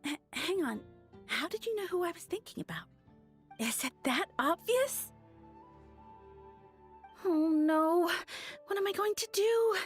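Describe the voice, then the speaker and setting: A dreamy young flower-shop girl speaks in a high, hesitant voice. She sounds lovestruck and easily flustered, in video game style with music faintly behind. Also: expressive, a little slow, pauses often. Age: young adult. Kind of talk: video game character voice acting.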